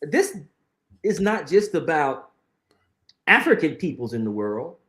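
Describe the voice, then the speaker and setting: Man, middle-aged, higher-pitched, earnest, emphatic, repeats phrases, echoey room. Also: big pitch swings, measured pace, a bit bright. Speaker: guest. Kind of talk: conversational interview, reflective storytelling.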